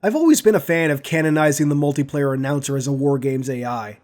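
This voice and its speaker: A man's voice: fairly high pitch, lively intonation, fast, darkish tone. A man hosting a scripted commentary on video game lore.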